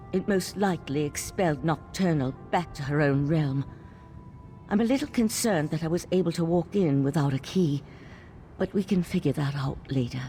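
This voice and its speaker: An earnest elf woman from a fantasy game. Her voice sits fairly low, and she speaks with measured, steadfast feeling over faint music. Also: a little mellow. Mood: concerned. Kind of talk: video game character dialogue.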